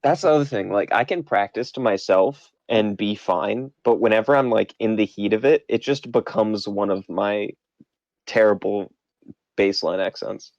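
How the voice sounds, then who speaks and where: A man who runs the table, talking in a halting, self-deprecating way and restarting his sentences. His voice sits fairly low, and the audio is muffled and lo-fi with a dry, close sound. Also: a little mellow, no room sound. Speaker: game master. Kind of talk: casual post-game chat.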